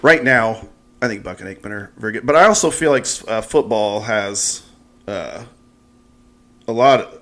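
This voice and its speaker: A man's voice: lowish voice, lively intonation, normal speed, a bit bright. An American man hosting a podcast, talking in a casual, conversational interview style. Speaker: podcast host.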